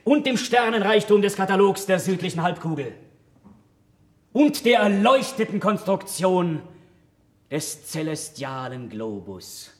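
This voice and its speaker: An actor playing a pompous, condescending, learned court philosopher in a radio drama, with ceremonious delivery. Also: male voice, high voice, very animated, measured pace. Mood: grandiose. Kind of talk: theatrical radio drama acting. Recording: echoey room radio play recording.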